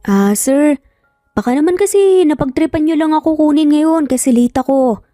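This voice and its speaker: Woman as a feisty, high-voiced young nanny. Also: animated, moderate pace, dark tone, no echo, studio quality, soft background music. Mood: hesitant. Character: young single-mother nanny, feisty, flustered, polite to elders.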